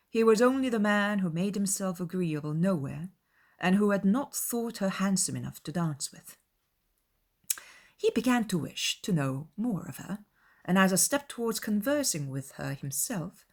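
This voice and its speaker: A woman's voice: mid-range voice, lively intonation, moderate pace. A woman reading scripted literary narration as an audiobook narrator.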